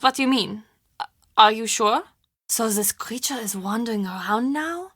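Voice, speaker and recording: An actress playing an anxious, defensive woman who works at a castle in an adventure game, speaking in an earnest, expressive, conversational way. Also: fairly high pitch, moderate pace, no echo, clean studio sound. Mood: exasperated. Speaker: video game voice actor. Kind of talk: adventure game character dialogue.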